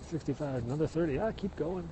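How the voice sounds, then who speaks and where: A man's voice: fairly high pitch, fast talker, background noise. Midwestern American man vlogging outdoors among cicadas. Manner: chatty, tour-guide pointing out sights, answers viewer comments. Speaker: live-streaming vlogger. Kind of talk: casual walking livestream chat. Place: outdoors on a hillside trail. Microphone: phone held while walking. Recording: phone recording outdoors with loud cicadas. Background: insects and cicadas.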